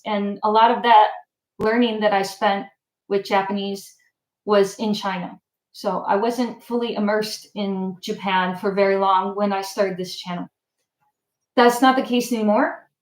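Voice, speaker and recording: A woman hosting a casual livestream, chatting with viewers and answering their comments in a relaxed, filler-heavy way. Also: medium pitch. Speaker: channel host. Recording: echoey room.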